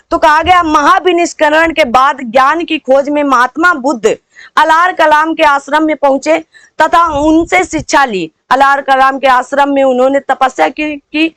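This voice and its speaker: A woman teacher with a notably high voice leads an exam coaching lesson. She quizzes her listeners, asking short rhetorical questions and repeating key points. The sound is dry, with very little room in it. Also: a bit bright, dry recording.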